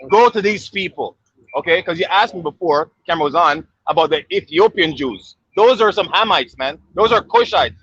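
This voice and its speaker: A man preaches on a city street, pushing his points hard and checking in with "okay?" after each one. His voice sits a little high and comes out husky. The recording is dull and lo-fi. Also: animated, moderate pace, fairly bright tone, no room sound. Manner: insistent, repeats "okay" and "right?".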